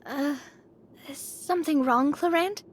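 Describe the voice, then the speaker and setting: A woman voicing a curious, eager young female adventurer in a game, with an expressive, inquisitive delivery. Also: sits high, a little slow, a little hiss or hum. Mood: concerned. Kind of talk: scripted game voice acting. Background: faint background music.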